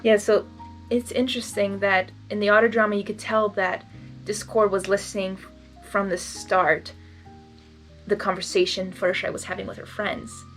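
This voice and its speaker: A woman who hosts fan fiction readings, moving between expressive story narration and emotional, casual reaction. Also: mid-range voice, moderate pace, faint music behind. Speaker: fan fiction reader and channel host.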